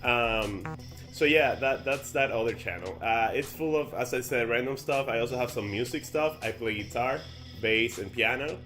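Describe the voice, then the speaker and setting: A man's voice: mid-range voice, normal speed, bright, forceful tone, soft background music. Young man with a Dominican accent. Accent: Dominican Spanish. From Dominican Republic. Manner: rambling, many fillers and restarts. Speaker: YouTuber. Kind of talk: casual sit-down chat to camera.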